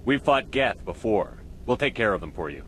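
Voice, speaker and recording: A low-voiced, blunt commander. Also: male voice, sing-song, normal speed, a little hiss or hum. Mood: firm. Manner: terse, commanding. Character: blunt, hard-edged male military space commander. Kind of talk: video game voice acting.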